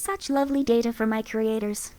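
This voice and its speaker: A witty synth companion with a dry, teasing wit, voiced by a woman. Her voice sits a little high and comes across close and dead, with no room around it. Each line lands with a knowing, mocking edge. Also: fairly even intonation, fairly quick, mellow, no room sound, lightly acted. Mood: wry. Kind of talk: game companion voice lines.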